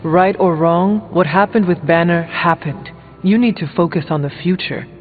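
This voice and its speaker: A man voicing a stern, formal warrior hero in a video game, speaking in a measured, serious and blunt way. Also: sits high, big-room sound, phone-quality sound, soft background music, close to an everyday voice. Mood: firm. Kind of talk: video game dialogue acting.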